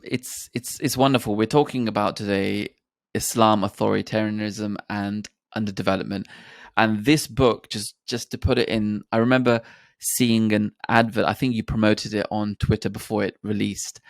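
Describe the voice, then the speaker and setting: A man's voice: on the low side, gravelly, no room sound, clean studio sound. A man hosting a podcast, introducing a book in a casual, hesitant way with restarts. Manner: hesitant, many fillers and restarts. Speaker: podcast host. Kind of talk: casual interview chat.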